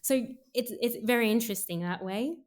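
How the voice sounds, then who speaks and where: A woman's voice: a little high, a little fast. A young adult woman singer from Sri Lanka, raised in Australia, talking casually in an interview and thinking aloud with lots of filler words. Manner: soft-spoken, frequent "like" fillers, thinking aloud. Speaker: singer, guest.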